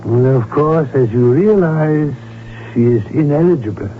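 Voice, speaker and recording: An actor in a radio drama playing a cautious royal doctor and confidant, formal and precise in speech. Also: male voice, fairly high pitch, lively intonation, measured pace, a little hiss or hum. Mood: concerned. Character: cautious, concerned male royal physician and confidant. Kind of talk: radio drama acting and in-character narration. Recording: dull vintage radio broadcast, faint music.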